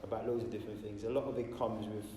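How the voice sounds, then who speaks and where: A choreographer, a man, reflecting calmly on how he learned his craft, with pauses and fillers. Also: on the low side. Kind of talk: casual interview. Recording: distant echoey hall, faint noise.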